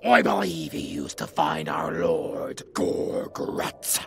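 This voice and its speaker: Goblin lord, harsh gravel rasp, slow delivery, courteous but excitable, fantasy roleplay, noisy background. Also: medium pitch, exaggerated voice. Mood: respectful, firm. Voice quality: gravelly, creaky. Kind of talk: fantasy audio roleplay acting.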